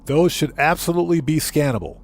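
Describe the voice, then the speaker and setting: A man livestreaming a game, chatting casually with viewers and thinking aloud in a halting, easygoing way. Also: a little high, expressive. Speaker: livestream host and gamer.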